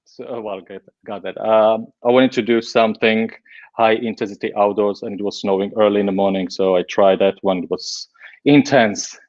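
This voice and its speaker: A man's voice: lowish voice, fairly even intonation, moderate pace, darkish tone. Man in his forties, lo-fi sound. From Slovakia. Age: 40s. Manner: chatty, laughs easily, many fillers and restarts. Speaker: guest. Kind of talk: casual interview talk.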